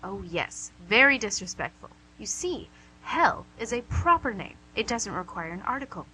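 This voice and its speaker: A woman's voice: mid-range voice, big pitch swings, normal speed, pushed and bright, husky, exaggerated voice, poor audio. A woman playing a suave female devil boss in an amateur radio comedy, pedantic, condescending and mock-polite. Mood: pedantic, scolding. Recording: amateur radio recording with hum, noise.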